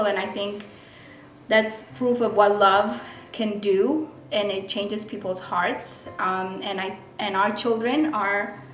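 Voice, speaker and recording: A woman from Ethiopia, raised in Rome, sharing her story in a church testimony video in a quiet, reserved and gentle way. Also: medium pitch. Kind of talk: personal testimony, interview-style. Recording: echoey room recording.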